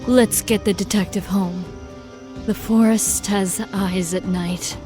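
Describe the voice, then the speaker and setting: Audio drama heroine female actor: grave, firm, mid-pitched supernatural investigator. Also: fairly even intonation, takes it slowly, over music, close to an everyday voice. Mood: weary, knowing.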